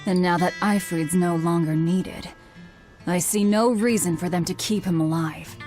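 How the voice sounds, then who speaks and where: A woman's voice: lowish voice, steady tone, a little slow, a little mellow, faint music behind, lightly acted. An actress playing a grim young woman in a game, speaking in a cold, matter-of-fact way.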